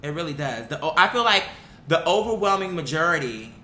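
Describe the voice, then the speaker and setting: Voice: big pitch swings, brisk, sharp tone. A young adult commentator giving a blunt, exasperated opinion rant in casual, sweary language, repeating phrases for emphasis. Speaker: online commentator. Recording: echoey room with faint rumbling noise.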